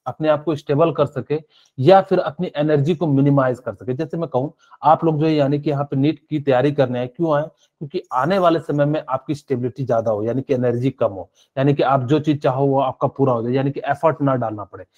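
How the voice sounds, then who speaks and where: A man's voice: mid-range voice, fairly quick, a little mellow, highs cut off. A male chemistry teacher giving a live online class, explaining step by step with many fillers and asking students questions.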